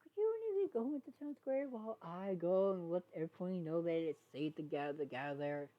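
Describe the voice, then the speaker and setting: A woman's voice: on the low side, expressive, moderate pace, highs cut off, lightly acted. A woman voicing a male pony sheriff, stern, responsible and exasperated, giving admonishing orders in a cartoon fan story. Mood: bossy.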